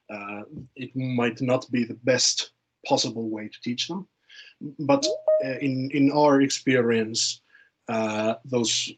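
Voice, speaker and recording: Guy late 20s, slightly husky, talking through answers on a webinar, repeats himself a bit. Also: mid-range voice, poor audio. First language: Finnish. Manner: hesitant, repeats words while thinking. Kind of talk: informal webinar discussion.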